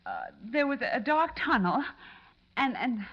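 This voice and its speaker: Scared young female reporter, 1940s radio drama. High-pitched, shaky, faltering, dazed, on lo-fi old audio. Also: lively intonation, breathy edge. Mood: frightened, halting. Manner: halting, tremulous. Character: dazed, frightened young woman reporter, jailed. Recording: dull old radio recording.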